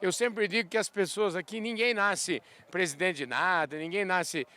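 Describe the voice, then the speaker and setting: A man's voice: sits high, normal speed, pushed and bright. A man hosting a podcast, interviewing a guest outdoors at a farm show in a chatty, folksy way with eager questions. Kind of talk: casual interview chat.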